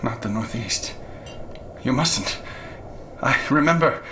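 An actor playing a haunted middle-aged man tormented by his dreams, halting and intense in a radio drama. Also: medium pitch, expressive, background noise. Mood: troubled, haunted. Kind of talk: radio drama acting and narration.

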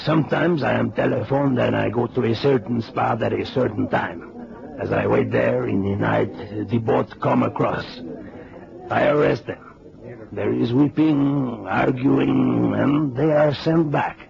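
An actor playing a weary, courteous border officer with a Mexican accent and formal, measured phrasing in an old radio drama. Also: male voice, medium pitch, vocal fry, lo-fi sound, a crowd in the background. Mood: grave, wary. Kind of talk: 1970s radio drama acting.

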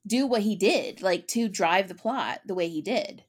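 An enthusiastic woman co-hosting a casual podcast chat. She gushes eagerly and often restarts mid-sentence. Her voice sits at an ordinary middle pitch. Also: expressive. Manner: enthusiastic, gushing, quick restarts. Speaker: podcast co-host.

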